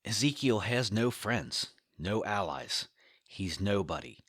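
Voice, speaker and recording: A man's voice: lowish voice, expressive, slow, fairly bright tone, no room sound, studio quality, exaggerated voice. A man playing a dismissive, self-assured boss in an audio drama. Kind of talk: audio fiction podcast acting.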